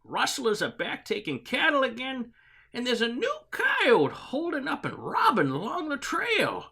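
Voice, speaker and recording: An actor playing a worried, agitated deputy in a comic western, with a husky voice and folksy cowpoke talk. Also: sits high, huge pitch swings, unhurried, sharp tone, heavily acted. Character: worried, agitated rustic deputy of the Old West. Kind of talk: western comic audio drama.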